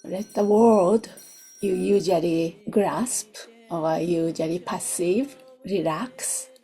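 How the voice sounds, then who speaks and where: A woman who is not a native English speaker leads a guided meditation in a soft, gentle voice, in short unhurried phrases that often drop toward a whisper. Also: lowish voice, soft background music. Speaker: meditation teacher. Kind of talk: guided meditation, slow and soothing.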